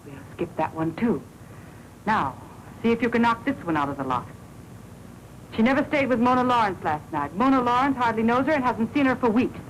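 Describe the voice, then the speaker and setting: An actress playing a sharp-tongued, suspicious woman reporter with snappy, sardonic delivery in a 1940s crime film. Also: fairly high pitch, big pitch swings, brisk. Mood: accusing. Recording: noisy 1940s film soundtrack.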